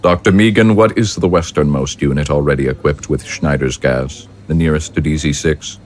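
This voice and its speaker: A man playing a commanding, calculating ruler in an audio drama, speaking with deliberate authority. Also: a bass voice, slight background noise. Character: commanding, calculating male ruler of a militarist state.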